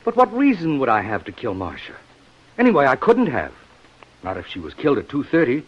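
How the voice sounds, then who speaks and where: An American actor playing a defensive, earnestly protesting lover suspected of murder in a 1950s radio detective drama. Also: male voice, mid-range voice, huge pitch swings, fairly quick, breathy edge. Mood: indignant protest. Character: defensive male lover under suspicion of murder. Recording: dull vintage radio recording.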